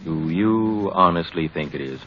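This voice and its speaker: An actor playing a frank family doctor giving advice in a deliberate, measured way, old-time radio drama style. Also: male voice, low voice, a little hiss or hum. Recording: dull vintage radio recording.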